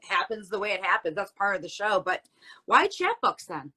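A woman's voice: medium pitch, sing-song, normal speed, sharp tone, breathy edge. A woman hosting a casual interview show, chatty and encouraging, repeating herself for emphasis.

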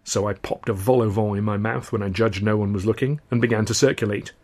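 A man's voice: on the low side, steady tone, quick pace, darkish tone. A man reading his own novel as a wry first-person audiobook narration.

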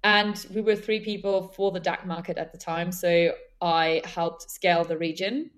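A woman's voice: on the low side, fairly even intonation, normal speed. A young adult woman, a guest on a podcast interview, talking fluently and explaining terms as she goes. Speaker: guest, senior account executive.

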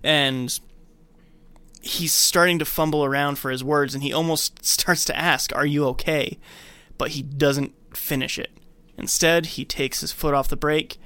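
A podcast co-host casually retelling a film scene by scene, acting out bits in an animated way. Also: mid-range voice, measured pace, clear, resonant tone, no room sound.

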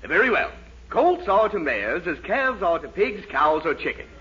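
An actor playing a sarcastic, exasperated college professor in a fussy, theatrical 1940s radio comedy style. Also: male voice, sits high, very animated, moderate pace, a bit bright. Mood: strained patience. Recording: dull, noisy 1940s radio recording, audience.

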